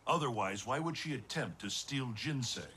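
A man's voice: medium pitch, expressive, normal speed, sharp tone, a little hiss or hum. A man playing a wise, commanding thunder god in a video game, speaking in a formal, stately manner. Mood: solemn.

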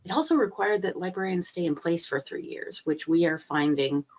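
Low-voiced woman with a cold on a video call. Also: normal speed. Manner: hesitant, many fillers and restarts. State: recovering from a cold, a little stuffy. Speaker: guest speaker, library trainer. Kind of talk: webinar talk. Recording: muffled video-call audio.

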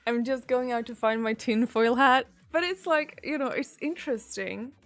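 A woman in her thirties from Sweden with a Swedish accent, chatting casually and giggling as she reads viewer messages on a live stream from a small room, into a desk mic on a boom arm. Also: soft background music. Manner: giggly, chatty, drawn-out pauses.

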